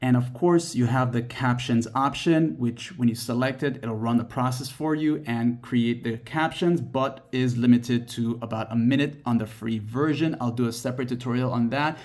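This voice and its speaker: A man's voice: medium pitch, normal speed, few pauses, darkish tone. A man narrating a step-by-step app tutorial as its host, instructing the viewer directly.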